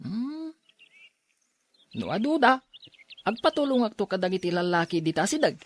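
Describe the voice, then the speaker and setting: A woman's voice: medium pitch, big pitch swings, moderate pace. A woman playing a cocky, mischievous young shepherd boy in a Filipino audio drama. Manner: playful, boastful.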